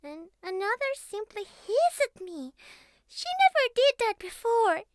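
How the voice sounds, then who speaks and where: A woman's voice: very high-pitched, very animated, a little slow, no echo, clean studio sound, heavily acted. A woman voicing a young catgirl who was once a house cat, naive, clingy and earnest, with a childlike, hesitant, pleading way of talking in an intimate ASMR roleplay. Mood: hurt, confused. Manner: childlike, hesitant, pleading, slightly formal phrasing.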